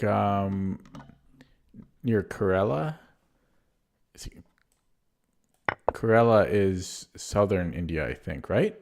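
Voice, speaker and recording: A man streaming chess live with a hoarse voice, thinking aloud about his moves and chatting casually with viewers. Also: low voice, a little mellow. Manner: thinks aloud while playing, muttering over moves. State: hoarse, clearing his throat.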